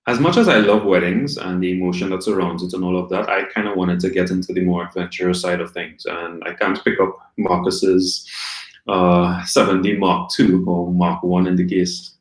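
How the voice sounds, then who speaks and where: Deep-voiced man from Trinidad and Tobago. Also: moderate pace. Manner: relaxed, rambling storyteller with frequent ums. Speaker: guest. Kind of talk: casual live-stream interview. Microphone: video call. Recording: echoey room on a video call.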